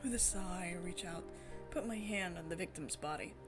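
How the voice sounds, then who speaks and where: A woman's voice: lowish voice, moderate pace, over music, pitched below the performer's own voice, close to an everyday voice. Jaded young woman investigator, read by a streamer. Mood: somber, wry. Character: jaded clairvoyant young woman investigator, wry and world-weary. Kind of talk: visual novel first-person narration read aloud.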